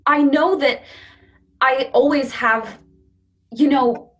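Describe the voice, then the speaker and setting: Woman acting frank, defensive Brooklyn girlfriend, higher-pitched. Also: takes it slowly. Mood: defensive, upset. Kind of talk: staged play reading.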